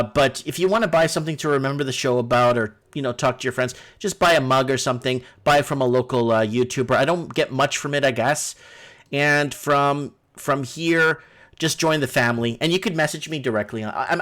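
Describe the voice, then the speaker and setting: A man's voice: medium pitch, fairly quick, fairly bright tone. A man hosting a casual live call-in stream, rambling and repeating himself as he addresses his viewers. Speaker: livestream host.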